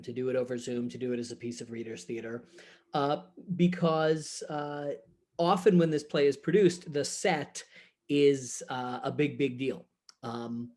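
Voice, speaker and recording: A man with a medium-pitched voice talks informally in an interview, like a director discussing his work. He is thoughtful and halting, often pausing to find the right word. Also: a little mellow. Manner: halting, thoughtful, searching for words. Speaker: theater director. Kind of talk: casual interview chat about a production.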